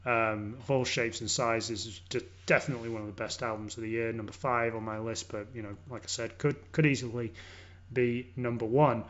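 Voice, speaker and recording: Casting: male English podcast host, enthusiastic and sweary, halting casual delivery, muffled lo-fi recording. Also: medium pitch, fairly even intonation, a bit bright. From born in London, grew up in Leeds, Yorkshire. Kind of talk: casual music review chat. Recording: dull lo-fi audio.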